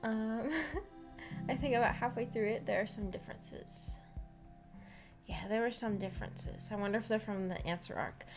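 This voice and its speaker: A woman with a fairly high voice hosts a relaxed livestream. She talks informally to her viewers, giggly and rambling, often restarting her thoughts. Faint music plays behind her. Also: normal speed. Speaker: livestream host of a visual novel book club. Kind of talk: casual livestream chat with viewers. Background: background music.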